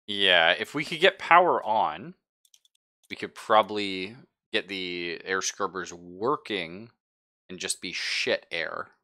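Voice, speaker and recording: A man acting as game master in a tabletop roleplaying game, describing things conversationally and haltingly as he thinks aloud. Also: deep voice, bright, forceful tone. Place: live-streamed game session.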